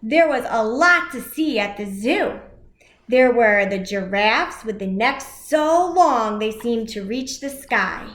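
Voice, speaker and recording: A woman reading a children's storybook aloud in simple, deliberate phrases, as an educator addressing young listeners. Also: fairly high pitch, expressive, fairly bright tone, echoey room.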